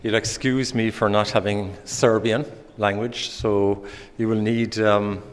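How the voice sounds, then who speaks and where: A man from Ireland with an Irish accent, giving a conference presentation with many pauses and restarts. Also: on the low side, level tone, clear, resonant tone. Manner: hesitant, many ums and restarts. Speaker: conference speaker. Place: conference hall. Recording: hall reverb.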